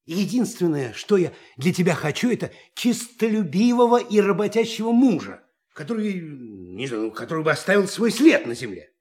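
A man's voice: sits high, very animated, normal speed, a little mellow. An actor playing a vain, argumentative middle-aged TV commentator and father in an audio play, pompous and given to repeating himself. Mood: indignant. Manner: pompous, stammering repetitions when arguing. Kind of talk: Russian audio play acting.

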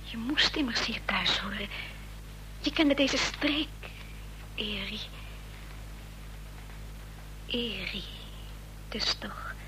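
An earnest, anxious young woman in a radio drama. Her voice is fairly high and bright, with slow, intense phrasing. The old recording carries faint noise and hum. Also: exaggerated voice, rough recording. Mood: hushed, amazed. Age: young adult. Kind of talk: Dutch radio drama acting.

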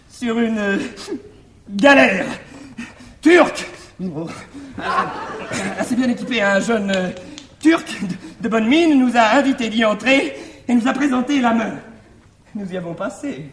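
An actor playing a crafty, wily manservant in a classical French stage farce, with theatrical, halting mock distress. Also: male voice, very high voice, full character voice. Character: crafty, wily manservant feigning breathless alarm. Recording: echoey 1960s theatre recording, faint hiss.

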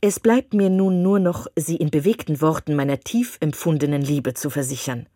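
A woman reading as a pompous male clergyman from a classic novel, long-winded and condescending. The voice sits low and slightly husky. The sound is dry and close. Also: moderate pace, mellow, no room sound. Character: pompous self-satisfied male clergyman suitor.

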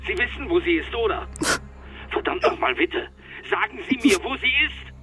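Police detective, high sharp voice, urgent, commanding, demanding, modern radio drama. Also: male voice, sing-song, normal speed, soft background music, full character voice. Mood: urgent, angry. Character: urgent, forceful male police detective seeking his colleague.

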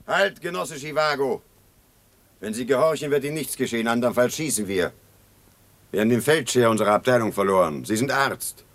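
An actor playing a stern armed partisan in a 1950s German radio drama, giving clipped, threatening orders. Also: male voice, medium pitch, animated, a little fast, a bit bright, dry recording.

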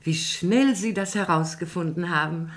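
A woman's voice: on the low side, unhurried, lightly acted. An actress playing a gracious, calculating middle-aged society mother in a German radio play, effusive and genteel.

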